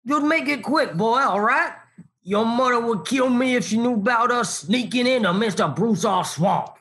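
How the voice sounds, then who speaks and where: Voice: mid-range voice, expressive, moderate pace, fairly bright tone. An actor playing a folksy, protective old grandpa in a radio play, with a drawl. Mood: grumbling, folksy.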